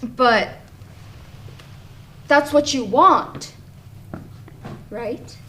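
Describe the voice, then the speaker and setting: A woman acting in an amateur stage play as a young woman's sly, taunting inner self, speaking in a deliberate, insinuating way. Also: high-pitched, bright, forceful tone, slight background noise, full character voice, poor audio. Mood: coaxing, taunting.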